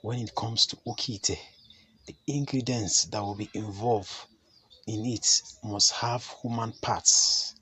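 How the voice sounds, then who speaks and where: Male chief priest, lowish pitch, forceful bright tone, slightly hoarse, earnest and repetitive teaching delivery. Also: steady tone, takes it slowly, slight background noise. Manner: earnest, repetitive, frequent rhetorical "hmm?". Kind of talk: talking-head teaching to camera.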